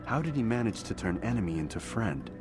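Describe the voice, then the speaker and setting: A man's voice: lowish voice, big pitch swings, quick pace. An actor playing a brooding, questioning young medieval assassin in a video game, terse and formal in his speech. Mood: doubting. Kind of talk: video game drama acting. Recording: loud game music under the voice. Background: video game music.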